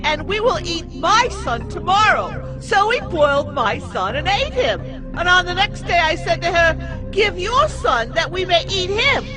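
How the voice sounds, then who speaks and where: Wailing, very high-pitched desperate mother. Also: heavily acted. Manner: plaintive, wailing, slow delivery. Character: desperate starving mother pleading to her king. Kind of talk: dramatized Bible audio acting.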